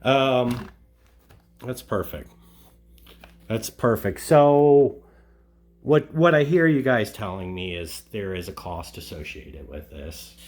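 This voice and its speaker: A man conducting a casual group interview, halting and rephrasing his questions as he goes. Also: on the low side, animated.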